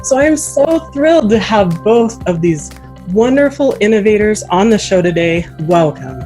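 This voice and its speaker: A woman's voice: mid-range voice, animated, moderate pace, dark tone, faint music behind. A woman hosting a casual podcast interview in an enthusiastic, effusive way. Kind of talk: casual friendly video interview. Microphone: USB desktop microphone.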